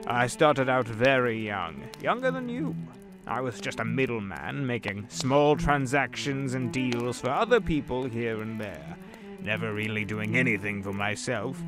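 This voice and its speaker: Guy doing the devil as a slick sly businessman, drawly and knowing, music under it. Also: mid-range voice. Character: the Devil posing as suave rich businessman, middle-aged man. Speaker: voice actor. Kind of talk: audio drama acting. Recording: with background music.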